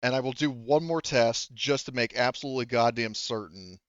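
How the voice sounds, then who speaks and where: A man's voice: medium pitch, brisk, no room sound, dull audio. A man chatting casually and informally as game master of an online tabletop game, swearing freely. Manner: informal, profane.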